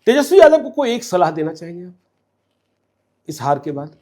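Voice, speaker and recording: A man interviewing a guest, firing off quick questions and often murmuring his assent. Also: high-pitched, big pitch swings, warm tone. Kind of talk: rapid-fire interview questions.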